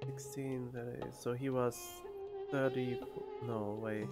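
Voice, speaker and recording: A man giving casual reaction commentary on a video game, thinking aloud in a halting, puzzled way. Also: medium pitch, fairly even intonation, gravelly, darkish tone. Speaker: game streamer. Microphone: close studio condenser microphone. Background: game music with plucked guitar.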